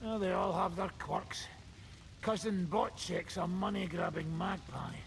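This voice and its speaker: A blunt, wry, foul-mouthed medieval lord for a game drama. His voice is extremely creaky and gravelly, sitting a little high for a man, with slight noise behind it. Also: unhurried. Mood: sardonic. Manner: blunt, sardonic. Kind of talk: dramatic video game dialogue.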